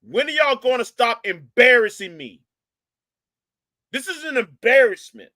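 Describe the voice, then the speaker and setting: Animated man scolding on a live stream. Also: very high voice, unhurried. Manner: animated, repetitive, mocking, scolding. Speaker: live stream host reacting to a video. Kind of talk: reaction commentary to a live chat.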